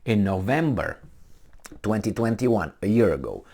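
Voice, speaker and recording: A man's voice: fairly low pitch, big pitch swings, measured pace, a little mellow. A man with a Romanian accent, a native Romanian speaker, reading a news article aloud and commenting on it in a casual, rambling way, with lots of fillers and self-corrections.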